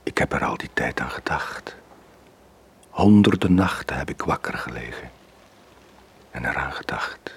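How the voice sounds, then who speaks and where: A haunted older ex-sailor in a Flemish radio drama. His voice sits very low and is thick with husk and breath. He sounds brooding and worn by sleepless guilt. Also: animated, unhurried, pauses often, slightly rough, in a whisper, full character voice. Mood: haunted, hushed. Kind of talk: 1980s radio drama acting.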